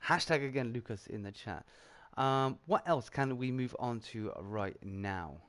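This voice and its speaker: A British man hosts a relaxed gaming livestream, chatting with friends and reading out donations. His tone is upbeat and conversational, with a middle-pitched voice. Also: measured pace. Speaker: livestream host. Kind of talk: casual gaming chat.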